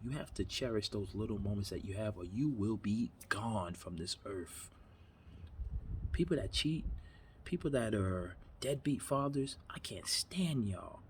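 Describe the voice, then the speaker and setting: A man's voice: medium pitch, slight background noise. A man of about thirty, from New York, giving an earnest, rambling motivational talk in his backyard. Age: 30s. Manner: earnest, rambling, repeats himself. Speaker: vlogger. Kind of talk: motivational monologue. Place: backyard outdoors.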